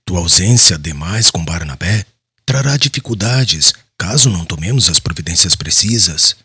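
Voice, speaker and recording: Guy acting as solemn prudent apostle, deep and really raspy, measured audiobook drama, dry close sound. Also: animated, pushed and bright, no room sound, exaggerated voice. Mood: grave, concerned. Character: Simon Peter, prudent, concerned male apostle, former fisherman.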